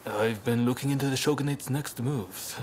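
An actor playing a serious, pragmatic samurai clan leader in a video game cutscene, with a measured, deliberate delivery. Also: male voice, medium pitch, a little mellow, slight background noise, lightly acted. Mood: grave.

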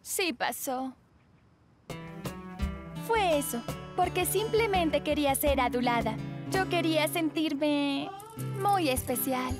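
A woman's voice: high voice, animated, slow, pauses often. A woman voicing a sweet, sensitive teenage girl in a cartoon, earnest and contrite. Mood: apologetic. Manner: earnest, confessional. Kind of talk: animated cartoon dub. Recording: music behind the voice.

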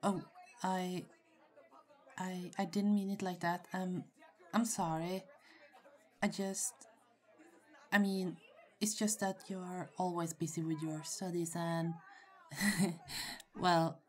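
Slow, low-voiced shy young woman, acted out by a woman. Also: level tone, darkish tone. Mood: friendly, flustered. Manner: hesitant, halting, giggly. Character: shy, friendly young woman, nursing student with a crush. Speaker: voice actor in roleplay audio. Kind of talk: ASMR roleplay, acted casual conversation. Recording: faint crowd chatter behind. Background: party crowd murmur.

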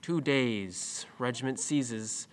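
A history lecturer, played by a man, recites in a formal, declamatory way. His voice is of medium pitch and comes in fragmented phrases. The theatre recording carries a little noise. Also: slow, natural voice. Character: male history lecturer droning through dates and wars.

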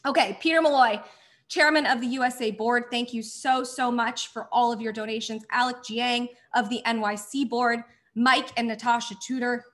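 Woman host at a charity gala, kinda high voice, gushing thank yous, voice going a bit hoarse. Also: fairly bright tone. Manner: effusive, thanking sponsors. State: losing her voice. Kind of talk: scripted event hosting.

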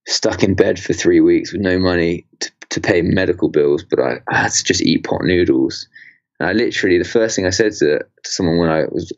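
A man's voice: sits low, vocal fry, muffled recording. A man in his twenties from England, a podcast guest talking casually with many fillers and restarts. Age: 20s. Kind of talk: casual interview chat.

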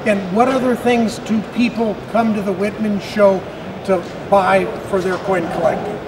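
A man's voice: high voice, fairly even intonation, normal speed. A man hosting and narrating a walk through a convention, talking in an upbeat, conversational way. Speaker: interviewer and host. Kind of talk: convention walkthrough narration and interview. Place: busy convention hall, indoors. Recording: crowded convention hall noise. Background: crowd chatter.

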